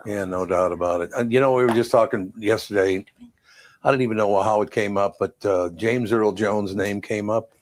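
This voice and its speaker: Deep-voiced older Midwestern male host. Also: normal speed. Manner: relaxed storytelling, chuckles. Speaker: podcast host. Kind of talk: casual chat.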